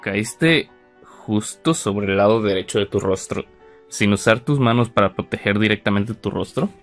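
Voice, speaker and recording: A man playing a stern middle-aged father and mentor in a comic fandub, questioning in a measured, formal way. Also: on the low side, soft background music, lightly acted. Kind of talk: amateur Spanish comic fandub.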